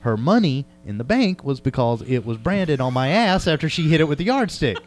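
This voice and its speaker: A man's voice: on the high side, big pitch swings, brisk. A man chatting casually and conversationally as an interviewer. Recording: clear background noise with hum.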